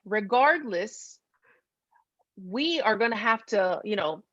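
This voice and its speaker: A woman's voice: medium pitch, lively intonation, slow, fairly bright tone, no room sound. A woman hosting a casual podcast chat, talking in an effusive, chatty way. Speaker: podcast host. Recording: dull, lo-fi close dry audio.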